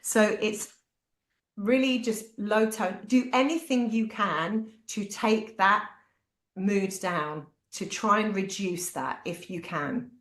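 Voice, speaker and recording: Animated British woman, echoey room. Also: mid-range voice, slow pace. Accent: British English. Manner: animated, acts out quoted voices. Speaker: parent speaker. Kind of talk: candid talk to parents.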